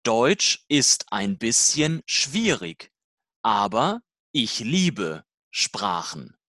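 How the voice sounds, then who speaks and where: Language-course dialogue reader: very slow, deliberate delivery, lively pitch movement. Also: dry recording, studio quality. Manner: slow, deliberate, simple learner-level sentences.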